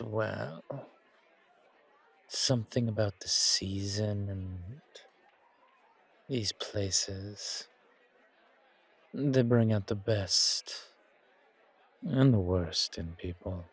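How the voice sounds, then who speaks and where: Man voicing a slow, husky, courtly vampire gentleman. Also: fairly low pitch, full character voice. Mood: apologetic, courteous. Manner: genteel, poetic, unhurried, flirtatious. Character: suave, courtly, old-world male vampire charming a stranger. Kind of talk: roleplay audio, ASMR horror.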